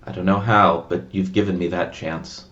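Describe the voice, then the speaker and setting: Deep-voiced grateful game survivor. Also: male voice, fairly even intonation, moderate pace, pushed and bright. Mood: wistful, grateful. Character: grateful male survivor, long separated from friends. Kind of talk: video game dialogue. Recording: dull, muffled sound.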